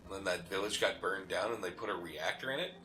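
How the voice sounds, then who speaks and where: A man's voice: low voice, fast, music in the background. A man co-hosting a gaming show, chatting casually and reacting as the game is played. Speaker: gaming podcast co-host. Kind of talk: casual banter while playing a video game.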